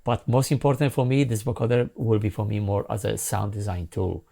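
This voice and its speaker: Middle-aged man, low creaky voice. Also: fairly even intonation, dark tone. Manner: halting, informal, rambling. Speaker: sound designer demonstrating a software synth. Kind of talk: casual product demo. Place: small home music studio, indoors. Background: synth sounds and music.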